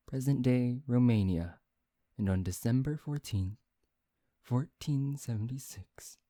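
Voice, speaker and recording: A man playing a suave, proud vampire who teases one listener in an intimate, halting ASMR roleplay. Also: fairly low pitch, mellow, no echo, studio quality. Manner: teasing, haughty, halting, addressing one listener. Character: suave, teasing male vampire, proud and quick-tempered.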